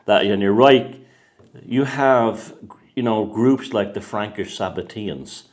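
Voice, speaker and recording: Low-voiced Irish man, rambling talk. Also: slow, darkish tone. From Ireland. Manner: rambling, many restarts and repeated words. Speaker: author and host. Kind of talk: casual conversation.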